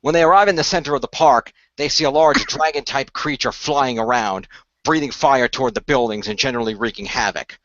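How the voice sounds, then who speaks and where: A man's voice: mid-range voice, fairly quick, a bit bright, no echo. Man narrating, dry and slightly muffled. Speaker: narrator and actor in an amateur radio play. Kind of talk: scripted story narration.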